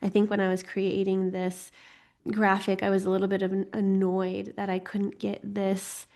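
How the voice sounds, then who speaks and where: A woman's voice: mid-range voice, completely flat delivery, normal speed, darkish tone. A woman with a slightly congested voice, teaching an informal online class in a playful, chatty way with lighthearted asides. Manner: playful, giggly, self-deprecating asides. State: slightly congested from getting over a cold. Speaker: host teaching an online astrology course.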